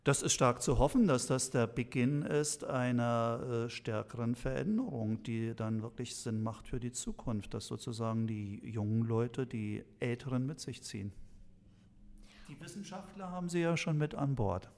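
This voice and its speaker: A man's voice: medium pitch, moderate pace, darkish tone. A man giving a considered opinion in an interview, choosing his words as he goes. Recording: reverberant room.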